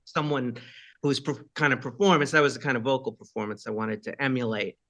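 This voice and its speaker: A rock singer with a high voice, chatting casually in an interview and rambling with many fillers and asides. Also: male voice, fairly even intonation, a little fast, a bit bright.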